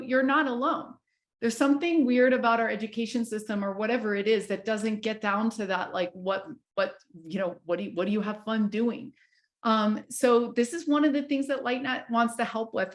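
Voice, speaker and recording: A woman hosting a live online healing session, talking in a chatty way with many fillers and restarts. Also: fairly high pitch. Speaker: host. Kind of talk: casual chat.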